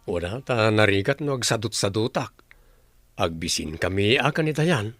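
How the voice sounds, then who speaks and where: A man playing a gentle, struggling father in a radio drama, hesitant and placating. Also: on the low side, animated, normal speed, no room sound. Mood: resigned. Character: struggling, gentle, resigned father of a young child. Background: music.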